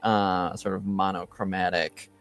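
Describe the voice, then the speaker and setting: A man acting as game master, improvising descriptions for a tabletop game and often pausing mid-phrase to find his words. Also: low voice, level tone, fairly bright tone, no room sound.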